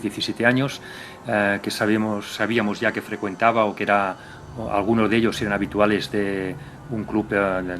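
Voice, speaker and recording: A man recalling police work in an interview, halting and circling back to rephrase. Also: fairly low pitch, a bit bright, a little hiss or hum. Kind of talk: interview recollection.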